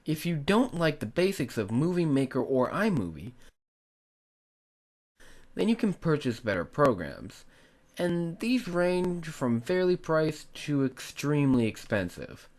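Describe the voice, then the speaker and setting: Man narrating a tutorial, very lively pitch. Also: unhurried. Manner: emphatic, instructive, addressing the viewer directly. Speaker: host of a tutorial on making abridged series.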